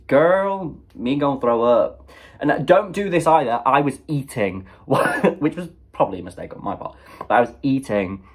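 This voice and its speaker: A young man with a cold, casually reviewing a film, chatty and animated, full of fillers and acted-out reactions. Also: mid-range voice, husky. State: sniffly with a cold. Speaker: movie reviewer.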